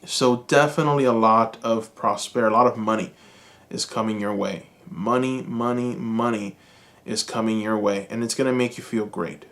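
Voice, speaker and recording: A Cuban American man giving a calm, reassuring tarot reading, speaking slowly and soothingly to his viewers. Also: on the low side. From United States. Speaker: tarot reader. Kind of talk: pick-a-card tarot reading.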